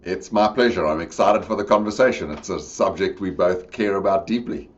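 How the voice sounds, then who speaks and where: Podcast guest: deep-voiced South African man, middle-aged, thoughtful explainer, dull lo-fi audio. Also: brisk. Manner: reflective, expansive explainer. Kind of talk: conversational interview.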